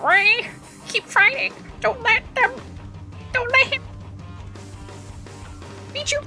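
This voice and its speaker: Casting: anguished dad played by a woman, very high, bright and forceful, visual novel drama. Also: takes it slowly, faint music behind, exaggerated voice. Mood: anguished pleading. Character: anguished father pleading for his son. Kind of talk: visual novel character read-aloud.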